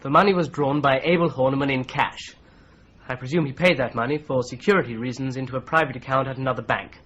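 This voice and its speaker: An actor playing a polite, methodical young law clerk who presses his points in a calm, persistent way, in a radio drama. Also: medium pitch, fast talker, lightly acted. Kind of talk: 1970s radio drama acting. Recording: slightly dull broadcast recording.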